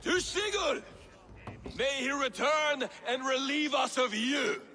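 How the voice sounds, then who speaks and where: A man's voice: very high-pitched, lively intonation, slow pace, sharp tone, in a large hall, soft background music, heavily acted. An actor playing a surly, bold male Viking warrior who speaks bluntly and mockingly in a game cutscene. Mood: shouting. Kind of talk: video game cutscene acting.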